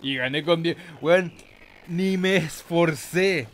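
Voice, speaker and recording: A man's voice: fairly high pitch, slow pace, a little hiss or hum. A man giving casual, slangy, mock-boastful gaming commentary with some swearing. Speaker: gameplay host.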